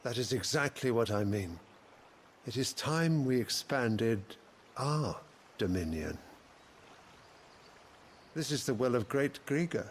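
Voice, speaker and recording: A man's voice: mid-range voice, lively intonation, takes it slowly, pauses often, slight background noise. A man playing a scornful, imperious fantasy emperor in a video game, speaking in formal, archaic oratory. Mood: stern, resolute. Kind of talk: theatrical fantasy game acting. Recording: orchestral music behind.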